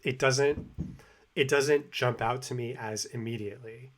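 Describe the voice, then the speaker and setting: A man's voice: mid-range voice, fairly even intonation, measured pace. An American man talking casually about language learning, rambling with lots of fillers and restarts. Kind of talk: casual explanatory monologue. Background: construction hammering and sawing overhead.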